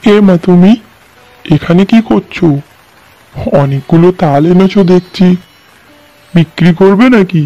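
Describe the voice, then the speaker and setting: A man's voice: high-pitched, measured pace, long pauses, warm tone, soft background music. A man voicing a kindly, courteous, fatherly village landlord in a cartoon, slightly muffled. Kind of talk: cartoon voice acting.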